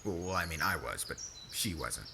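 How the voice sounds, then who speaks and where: Over clear ambient noise comes a low, lively-pitched young warrior, acted by a man. He is a devoted, laid-back husband in a romance roleplay, teasing and slangy. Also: normal speed, heavily acted. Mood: polite, defensive. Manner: casual slangy talk, stammers when flustered.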